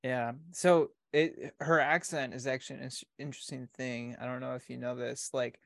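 An easygoing man chatting on a podcast, with a middling pitch. He teases his co-host and giggles easily, and his voice is close and dry, with no room sound around it. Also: steady tone, normal speed, creaky, no echo. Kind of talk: casual podcast chat.

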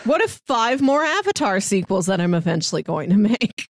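A woman's voice: medium pitch, very animated, normal speed, no room sound, studio quality. A woman co-hosting a podcast, chatting casually about films and telling anecdotes. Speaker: podcast co-host.

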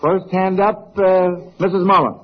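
A man's voice: sits high, steady tone, a little slow. A man hosting an old-time radio quiz show in a jovial, folksy, chuckling manner. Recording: dull vintage radio broadcast.

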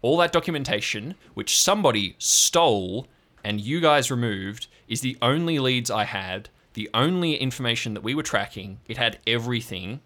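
A man's voice: mid-range voice, lively intonation, normal speed, a bit bright, lightly acted. A man voicing an abrupt, authoritative female park ranger chief in an improvised audio drama. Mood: stern, exasperated.

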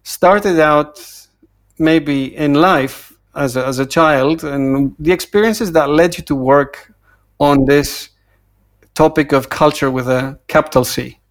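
Fairly high-voiced male podcast host. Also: slow pace. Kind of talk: podcast introduction and interview.

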